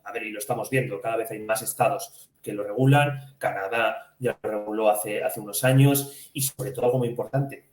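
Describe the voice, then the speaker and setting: A man's voice: on the low side, fairly even intonation, a little fast. A man from co-hosting a financial podcast, explaining conversationally and posing questions he then answers. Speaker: podcast co-host. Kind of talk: conversational financial news discussion. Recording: echoey room.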